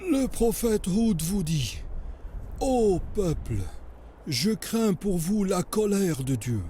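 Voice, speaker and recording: An actor playing a pious, solemn sheikh in a French cartoon, preaching in a grave, measured, husky voice. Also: male voice, high voice, sing-song, warm tone, a little hiss or hum, heavily acted. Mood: grave warning. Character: pious, solemn sheikh teaching about the prophet.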